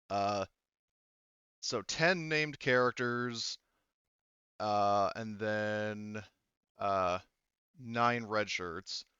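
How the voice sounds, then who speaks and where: A man working as game master, explaining a tabletop game casually and haltingly. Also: fairly low pitch, bright, forceful tone, clean tone, no room sound, highs cut off.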